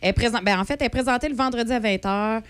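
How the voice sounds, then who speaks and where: A woman's voice: a little high, racing, clean tone, no room sound. A woman with a Québécois accent co-hosting a casual radio chat, joking and teasing her colleagues. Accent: Québécois French. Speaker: radio co-host.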